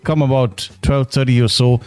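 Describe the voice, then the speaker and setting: A man's voice: mid-range voice, steady tone, normal speed, darkish tone, clear, resonant tone. Teasing man chiming in. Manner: teasing, dry joking. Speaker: podcast co-host. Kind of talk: casual chat.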